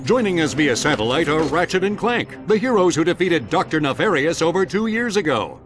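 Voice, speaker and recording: A polished TV news anchor. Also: male voice, fairly high pitch, sing-song, fairly quick. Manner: polished broadcast delivery. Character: smooth male TV news anchor. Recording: game cutscene with faint music.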